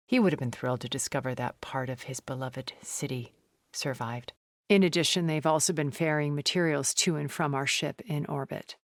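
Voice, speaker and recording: An actress playing a poised, cultured, wealthy woman in a video game, speaking in a measured, formal, refined way. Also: low voice, no echo, studio quality, lightly acted. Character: poised, cultured, wealthy woman, a thousand years old, sounding middle-aged. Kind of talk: video game character dialogue.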